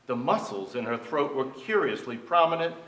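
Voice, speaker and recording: An actor playing a storyteller of family memories, reading in a theatrical literary style. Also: male voice, medium pitch, animated, moderate pace, fairly bright tone, lightly acted. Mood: narrating, tense. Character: storyteller recalling tense family scenes. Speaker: stage actor in a staged reading. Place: theatre stage. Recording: echoey theatre, far from mic.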